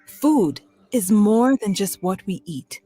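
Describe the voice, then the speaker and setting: Woman narrator, earnest and measured, faint music bed. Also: mid-range voice, lively intonation, dark tone, slightly rough. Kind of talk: scripted documentary narration.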